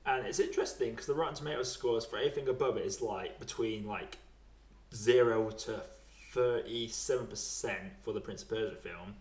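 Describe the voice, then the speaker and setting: A man's voice: lowish voice, normal speed, darkish tone, far from the mic. A man hosting a podcast in casual chat, talking in a wry, rambling, conversational way. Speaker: podcast host. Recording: roomy, echoey home recording.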